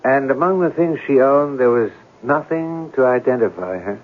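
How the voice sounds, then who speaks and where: An actor playing an intense, self-tormenting writer in an old-time radio drama, theatrical and insistent. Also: male voice, medium pitch, expressive, moderate pace, lightly acted. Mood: probing. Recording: muffled vintage radio broadcast.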